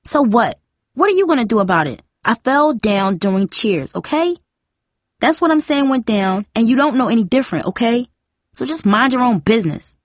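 A woman's voice: medium pitch, sing-song, fairly quick, hardly any pauses, breathy edge, no echo, clean studio sound. A woman voicing a defiant, defensive teenage girl with a heated, slangy way of talking, in a story reading. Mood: angry, defiant. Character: defiant, defensive younger sister, a school cheerleader.

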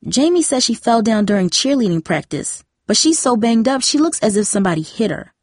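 A woman's voice: a little high, very animated, brisk, a little mellow, no room sound, studio quality, pitched above the performer's own voice. A woman voicing a protective, persistent teenage older sister, earnest and pressing, in a story reading. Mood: questioning.

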